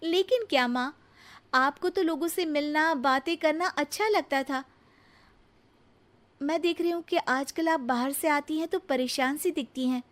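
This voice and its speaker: A woman voicing a caring, eager young daughter-in-law in a cartoon moral story, polite and coaxing in an animated way. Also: sits high, measured pace, voice pushed up. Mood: concerned.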